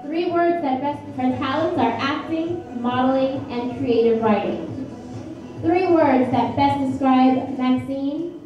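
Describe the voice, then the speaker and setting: A woman announcing pageant contestants, rattling off their hobbies in quick lists in a large hotel ballroom. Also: high voice. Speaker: pageant emcee. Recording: echoey ballroom, dull sound, music.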